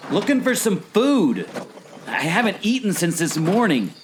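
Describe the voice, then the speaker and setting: A man's voice: high-pitched, sing-song, unhurried, a little hiss or hum. A man playing a hungry, distracted, good-natured sidekick in a scripted audio drama, speaking in a playful way. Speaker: podcast co-host.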